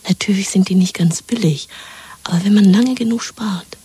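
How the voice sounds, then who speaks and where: An actress playing a tender, dreamy young woman lover in a 1970s German radio drama, speaking in an intimate, coaxing, hushed whisper. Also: mid-range voice, moderate pace, no room sound. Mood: eager, dreaming. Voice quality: breathy, whispering.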